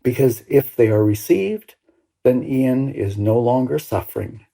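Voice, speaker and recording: An older woman over sixty reads a personal story expressively, with deliberate, dramatic phrasing and pauses. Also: rumbling low, animated, creaky, mellow. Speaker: writer reading own work.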